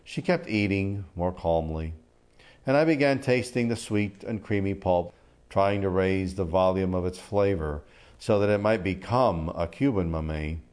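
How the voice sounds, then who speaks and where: An older Cuban-born man who grew up in Miami, a poet, speaking in a thoughtful, deliberate way. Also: low voice, a little mellow. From Cuba, came to the US as a child and grew up in Miami. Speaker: poet and art critic. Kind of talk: reflective interview talk and poetry reading.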